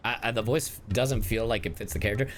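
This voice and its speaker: A man's voice: on the low side, fast talker, soft background music. A man giving casual game reaction commentary as a streamer, slangy and joking.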